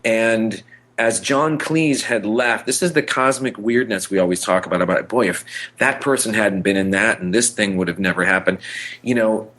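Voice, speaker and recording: A man co-hosting a podcast, chatting casually and enthusiastically in a rambling, halting way that repeats words. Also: on the low side, fairly bright tone. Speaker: podcast co-host. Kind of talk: casual chat about pop culture.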